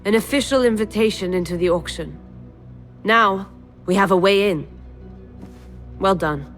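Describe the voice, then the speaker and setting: An actress playing a stern, composed mentor in a secret order, formal and measured, in a video game. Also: female voice, mid-range voice, a bit bright, lightly acted. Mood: composed, cautioning. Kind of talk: video game cutscene acting. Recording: music behind the voice.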